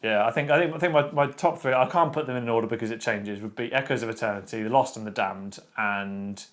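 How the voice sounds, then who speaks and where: A man, co-hosting a casual podcast chat about books, opinionated and quick with restarts. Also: mid-range voice. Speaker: podcast co-host.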